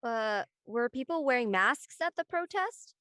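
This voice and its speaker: Woman as teenage girl, cautious, affectionate, high pitch, bright tone, casual dialogue. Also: lively intonation, measured pace, a little hiss or hum. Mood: worried. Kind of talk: casual scripted drama dialogue.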